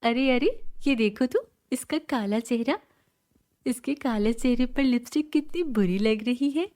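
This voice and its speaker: Woman as a high, sneering young stepsister. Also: a little slow, a little mellow, lightly acted. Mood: mocking. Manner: sneering, taunting. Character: mocking, jealous pretty young stepsister.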